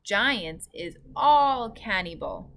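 A woman's voice: mid-range voice, animated, very slow, gravelly, a bit bright, exaggerated voice. A woman voicing a huge, boastful man-eating giant from a children's storybook, with emphatic, ungrammatical speech. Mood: boastful, menacing. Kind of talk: children's storybook read-aloud.

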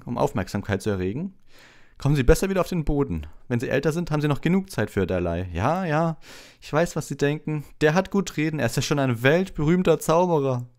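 A man's voice: mid-range voice, a little mellow, voice pushed up, close to an everyday voice. A man voicing a vain, patronizing celebrity wizard professor in a gushing, condescending manner for an audiobook. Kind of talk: audiobook character voice.

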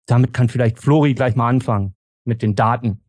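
A man moderates a podcast discussion in a casual way. His voice is of average pitch. The recording is dry, with no room around it. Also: a little fast, a little mellow, no echo. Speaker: podcast host.

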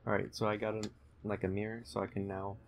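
A young man giving low-key, explanatory commentary while playing a video game at home. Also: little pitch movement, quick pace, a little hiss or hum. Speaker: gaming channel host playing the game. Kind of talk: casual let's play commentary. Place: room at home.

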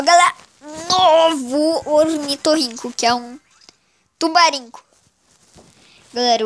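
High-pitched child gamer with a stuffy cold. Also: fairly bright tone, slight background noise. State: congested with a cold. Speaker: gaming channel host. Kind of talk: casual mobile game commentary.

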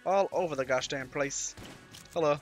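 A British man with a cold and a slightly raspy voice, giving casual, playful, mildly sweary let's-play commentary. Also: fairly high pitch, moderate pace. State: has a cold. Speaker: gaming YouTuber. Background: game music.

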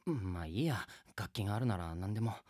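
A man's voice: lowish voice, lively intonation, brisk, darkish tone. A man playing an earnest, exasperated teenage music student in a radio comedy drama, narrating and making emphatic retorts. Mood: baffled.

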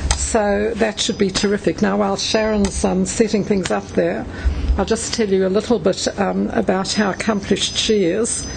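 A woman's voice: medium pitch, little pitch movement, normal speed, creaky, few pauses, background noise. A woman hosting an event who introduces the next speaker enthusiastically, with hesitant ums. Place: conference room.

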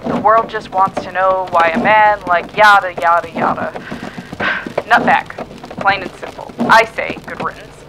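A woman plays a contemptuous news presenter in an audio drama, with a medium-pitched voice. She reports bluntly and with scorn, against very heavy background noise. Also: steady tone, a little slow, lightly acted. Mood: scornful. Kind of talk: scripted news reading turning to editorial in audio drama. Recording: very noisy background with clicks.

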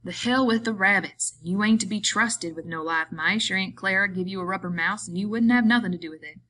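A woman voicing a gruff, exasperated working man who gives brusque orders in rough rural slang, in an audiobook story. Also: mid-range voice, fairly quick, bright, forceful tone, low-quality recording. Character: gruff, bossy, exasperated working man minding his friend.

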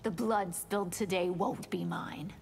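An actress playing a fierce, defiant fanged warrior in a video game, menacing in manner. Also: female voice, fairly low pitch, fairly even intonation, a little slow, vocal fry, soft background music.

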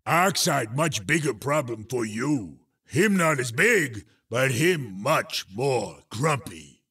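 A man voicing a huge, boastful, childish cartoon chief in a kart racing game, raspy and loud, speaking in broken caveman-like phrases. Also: expressive, takes it slowly, recorded from a distance, exaggerated voice. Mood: grudging, deflated. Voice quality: gravelly, raspy. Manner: broken caveman-like speech, loud booming exclamations. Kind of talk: exaggerated video game character acting.

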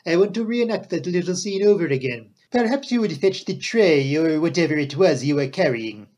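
A man reading an audiobook, voicing a dapper, excitable foreign detective with emphatic, courteous, quaint phrasing. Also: on the high side, normal speed. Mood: courteous, scheming. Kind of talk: audiobook character dialogue.

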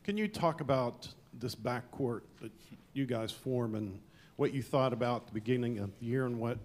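A man's voice: medium pitch, normal speed, a little mellow. A male sports reporter asking plain, direct questions at a press conference.

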